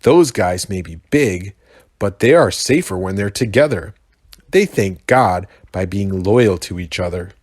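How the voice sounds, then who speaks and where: A man voicing a tiny, polite female bush baby in a children's story, earnest and gently instructive. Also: sits low, expressive, measured pace, no room sound, clean studio sound. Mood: earnest, teaching.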